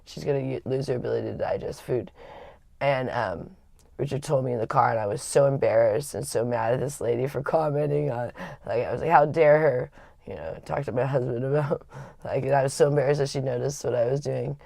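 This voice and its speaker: Low, raspy woman telling her story. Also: steady tone, a little fast, warm tone, no echo. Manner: rambling and confiding, with frequent restarts. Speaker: livestream host. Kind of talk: candid personal storytelling.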